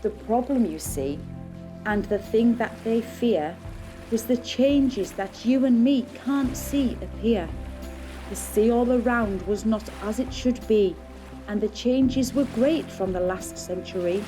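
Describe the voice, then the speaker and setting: A woman's voice: fairly high pitch, slow pace, music in the background. A woman narrating a puppet show as a storyteller in scripted rhyming verse.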